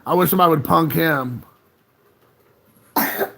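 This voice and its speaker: A man's voice: a little high, measured pace, creaky, a bit bright. A man in his late thirties hosting a casual home live stream from his phone, rambling and chuckling as he chats with viewers. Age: 30s. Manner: rambling, laid-back, chuckling often. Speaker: livestream host.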